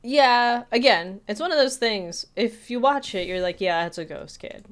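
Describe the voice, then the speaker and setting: A woman's voice: fairly high pitch, lively intonation, normal speed. A woman co-hosting a podcast, talking through a film review in a casual, chatty, hedging way. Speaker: podcast co-host.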